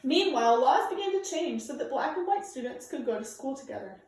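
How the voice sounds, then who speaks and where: A woman reading a children's nonfiction book aloud in a measured, emphatic way, phrasing it for young listeners. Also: high voice, expressive, a little mellow, a little hiss or hum. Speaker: storybook reader. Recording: very echoey room, distant microphone.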